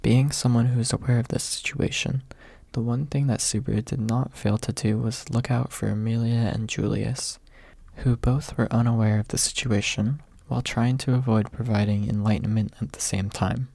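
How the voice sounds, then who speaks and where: A man narrates a story in a soft ASMR manner. His voice is gravelly and husky, sitting at a medium pitch. The sound is close and dry, with very little room in it. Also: steady tone, normal speed, dry recording. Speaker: audiobook narrator.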